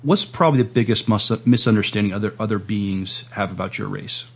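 A man hosting a playful, casual podcast interview, speaking politely and haltingly as he plays along with his guest. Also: fairly low pitch, creaky, breathy edge.

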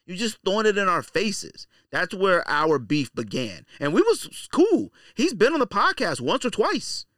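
A man's voice: sits high, wildly swooping pitch, moderate pace, a bit bright, no echo, clean studio sound. A man hosting a podcast in a casual, opinionated monologue, blunt and slangy, repeating phrases for emphasis. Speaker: podcast host.

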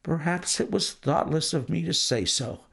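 A man's voice: fairly high pitch, lively intonation, moderate pace, gravelly, fairly bright tone, husky, voice pushed up, full character voice. A man voicing a distraught older male banker who pleads and exclaims anxiously. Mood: grieving.

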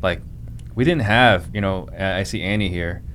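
A male host with a low voice, asking questions in a loose, casual interview. He drifts into rambling tangents and owns up that he is ranting. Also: normal speed, a bit bright, a little hiss or hum. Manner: rambling, self-aware ranting. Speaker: podcast host and real estate wholesaler.

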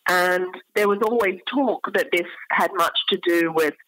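A woman speaking as an academic expert in an interview, explaining in an articulate way with pauses mid-phrase. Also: lowish voice, a bit bright, low-quality recording.

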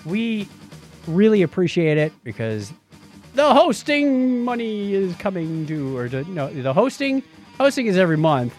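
A man's voice: high-pitched, very animated, measured pace, a little mellow, faint music behind. A man co-hosting a podcast in a playful, informal way, moving between casual chat and read-out items. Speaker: podcast co-host.

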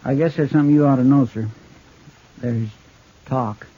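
Actor as husky, folksy frontier deputy, rural drawl. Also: male voice, mid-range voice, normal speed, lightly acted. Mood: uneasy. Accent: rural Western American drawl. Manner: hesitant, respectful, folksy. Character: earnest, deferential, folksy Old West marshal's helper. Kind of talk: 1950s radio Western acting. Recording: muffled 1950s radio recording.

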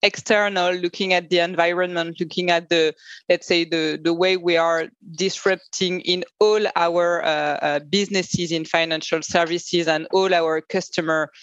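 A woman answering on a panel, earnest and halting, with many fillers. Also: fairly low pitch, flat delivery, no room sound. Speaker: panel guest from a bank. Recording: dry, dull lo-fi audio.

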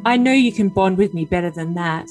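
A woman's voice: medium pitch, a little fast, darkish tone, faint music behind, close to an everyday voice. A woman playing a possessive, earnest young boyfriend with stilted endearments in a dramatic comedic reading of text messages. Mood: pleading.

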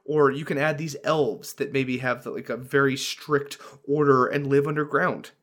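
A man's voice: mid-range voice, steady tone, normal speed, a bit bright. A man co-hosting a casual tabletop-gaming podcast, talking eagerly with frequent fillers and restarts. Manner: enthusiastic, many fillers and restarts. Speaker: podcast co-host.

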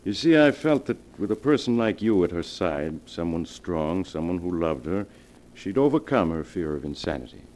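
An actor playing a grave family doctor in 1940s radio melodrama, solemn, measured and authoritative. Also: male voice, sits low, big pitch swings, darkish tone, a little hiss or hum. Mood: regretful, explaining. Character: grave, controlled family doctor breaking bad news. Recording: vintage 1940s radio broadcast.

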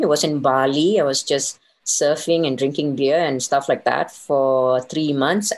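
A middle-aged woman being interviewed, telling her career story conversationally and voicing her own thoughts as little quoted asides. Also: low-pitched. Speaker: interview guest, consultant and business owner.

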